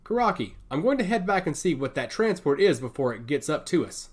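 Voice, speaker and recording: A man's voice: on the high side, very animated, brisk. A man voicing a daring, protective male biker fighter in a sci-fi story, urgent and confident. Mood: confident, alert.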